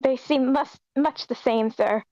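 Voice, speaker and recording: Woman playing a high, muffled, obedient henchman lad. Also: measured pace, mellow. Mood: deferential. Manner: deferential. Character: obedient young male henchman, simple and loyal. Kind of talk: screenplay table read. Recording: dull lo-fi audio.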